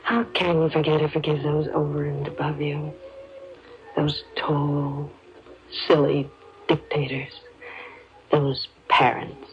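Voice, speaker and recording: A woman's voice: sits low, flat delivery, takes it slowly, long pauses. A woman playing an anxious, doting suburban mother in 1950s radio drama style, speaking hesitantly and trailing off. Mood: brooding. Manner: hesitant, breaking off mid-sentence. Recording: muffled, noisy 1950s radio recording.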